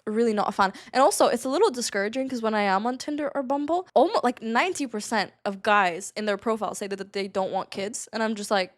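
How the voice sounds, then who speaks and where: A woman's voice: on the high side, fast, few pauses. A woman in her twenties from Latvia, chatting candidly to camera in a confiding way, with many "like"s and brief laughs. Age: 20s. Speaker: vlogger. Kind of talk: candid personal chat to camera.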